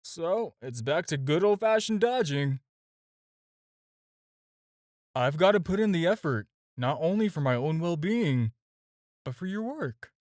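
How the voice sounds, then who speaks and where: Flirty villain for audio roleplay, played by a man: lightish male pitch, very lively intonation, teasing and self-assured. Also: moderate pace, no echo, clean studio sound, close to an everyday voice. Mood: flirtatious, casual. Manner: teasing, casual, conversational with hesitations. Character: flirty, smooth, self-assured male supervillain courting his tailor. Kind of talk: scripted audio roleplay addressed to the listener.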